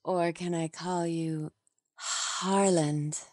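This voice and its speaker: A woman's voice: lowish voice, steady tone, drawn-out words, a little mellow, dry recording, studio quality. An actress playing a seductive, scheming showgirl in a noir radio play, with a coquettish way of talking. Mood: flirtatious, teasing. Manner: sultry, coquettish.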